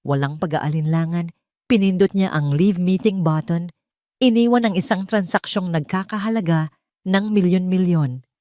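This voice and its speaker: A woman reading a scripted story as narrator, with a measured, dramatic delivery. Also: fairly low pitch, warm tone, no room sound, clean studio sound.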